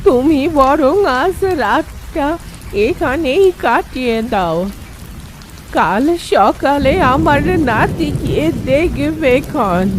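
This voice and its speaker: Woman voicing a kindly old grandmother, slow and homely. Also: high-pitched, expressive, noisy background. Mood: caring. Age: older. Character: kindly old grandmother who runs a tea stall. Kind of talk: Bengali cartoon story acting.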